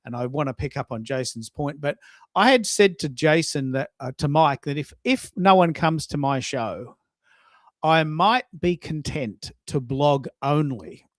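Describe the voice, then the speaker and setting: Higher-voiced man hosting live audio. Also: expressive, measured pace, no echo. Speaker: host of a live social audio show. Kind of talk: casual live audio discussion.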